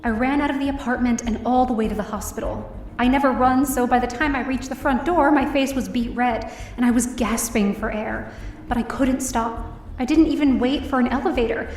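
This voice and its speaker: A woman's voice: fairly high pitch, brisk, a little mellow, echoey room, recorded from a distance, lightly acted. A woman playing an emotional young woman who narrates her own story in an audio drama. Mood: urgent narration. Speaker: first-person narrator and lead.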